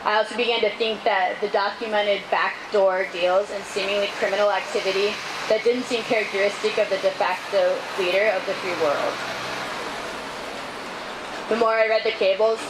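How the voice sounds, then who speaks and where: Woman, middling pitch, measured earnest reading of a written statement, outdoor street recording, clear background noise. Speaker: speaker reading a testimony at a rally. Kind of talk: reading prepared testimony aloud. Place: outdoors at a city street rally.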